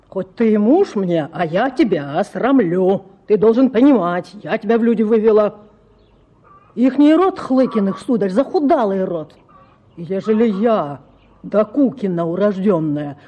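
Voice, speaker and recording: A man's voice: high-pitched, dark tone. A man playing a bossy lecturing noblewoman. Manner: domineering, lecturing, mocking laugh. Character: bossy pious provincial noblewoman, middle-aged. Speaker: actor in a radio play. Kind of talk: classic radio drama acting.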